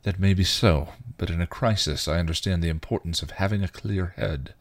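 A man's voice: low-pitched, a little fast. A man voicing a proper middle-aged male mentor, tight and clipped in his speech. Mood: stern.

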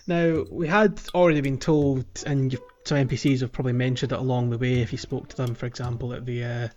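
A man's voice: mid-range voice, flat delivery, quick pace, darkish tone, highs cut off. A man co-hosting a podcast, chatting casually about a video game, rambling with lots of fillers and little laughs. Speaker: podcast co-host.

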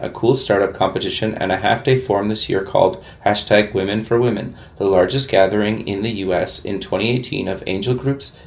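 Gravelly man in his thirties hosting. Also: fairly low pitch, slight background noise. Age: 30s. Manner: scripted promo read, then halting casual questions. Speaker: host. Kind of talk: podcast interview.

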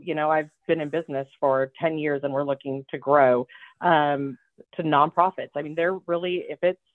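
A woman's voice: sits low, dry recording. A woman speaking casually in an interview as a business development guest. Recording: thin phone-like band, very dry.

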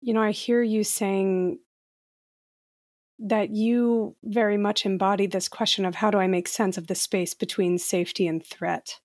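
A woman's voice: mid-range voice, steady tone, unhurried, a little mellow, no room sound, studio quality. A woman interviewer who forms her questions while asking them, searching for words.

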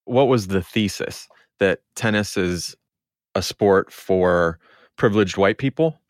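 A man with a deep voice talks casually as a podcast co-host. He sounds relaxed in format, as in an informal chat among regulars. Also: slow pace, no echo, studio quality.

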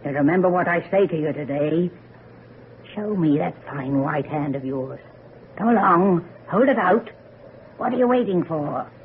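A tinny, slightly noisy old radio recording carries an ominous old country woman who tells fortunes. Her speech is rustic and foreboding, with a sharp tongue, and her voice sits fairly low for a woman. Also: lively intonation, a little slow. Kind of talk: old-time radio drama acting.

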